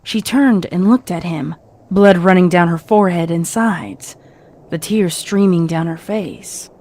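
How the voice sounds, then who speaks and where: A woman's voice: lowish voice, a little slow, dark tone. A woman reading scripted fiction as a narrator, in a dramatic storytelling style.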